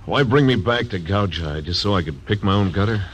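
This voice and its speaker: Actor as a terse, deep-voiced marshal, dry wit, old-time radio lead. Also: male voice, lively intonation, brisk, slight background noise, lightly acted. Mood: wary, dry. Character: tough frontier marshal, dry and wary. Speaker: narrator and lead. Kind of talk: 1940s radio acting.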